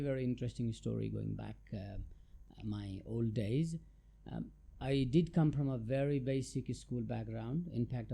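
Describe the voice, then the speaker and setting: Gravelly dark-voiced Nepali man. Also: medium pitch. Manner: thoughtful and hesitant, many fillers and restarts. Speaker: guest, chartered tax adviser. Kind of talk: relaxed interview answers.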